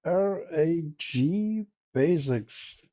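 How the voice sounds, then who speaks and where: Slow, mellow older man. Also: a little high, animated. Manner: slow, deliberate, mildly teasing. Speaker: participant. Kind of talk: casual chat on a video call. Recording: muffled video call audio.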